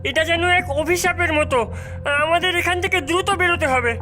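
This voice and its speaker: A woman's voice: extremely high pitch, moderate pace, pushed and bright. A woman voicing a brave, earnest young boy in a cartoon, hesitant but determined. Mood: resolute. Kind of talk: cartoon acting.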